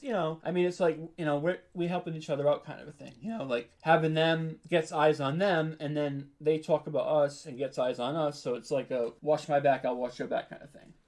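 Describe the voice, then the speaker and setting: A man's voice: a little high, darkish tone. An American man from New York giving a casual interview as a podcast creator, chatty, informal and self-deprecating. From New York, United States.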